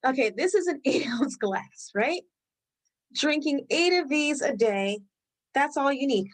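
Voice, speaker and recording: A woman with a Rhode Island accent hosting a live health webinar, talking loosely and thinking out loud as she goes. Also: high voice, lively intonation, unhurried. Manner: loose, conversational, thinks aloud while reading the chat. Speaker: webinar host.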